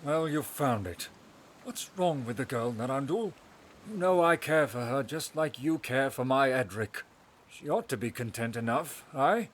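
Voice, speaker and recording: A man's voice: medium pitch, animated, measured pace, a little hiss or hum, natural voice. An actor playing an earnest, easily flustered middle-aged father and ruler in an audio drama, emphatic and pleading, with folksy phrasing.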